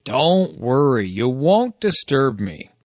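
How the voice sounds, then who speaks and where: A man's voice: mid-range voice, big pitch swings, takes it slowly, no room sound, clean studio sound. A man playing an easygoing, reassuring friend answering a call in scripted lesson dialogue for language learners.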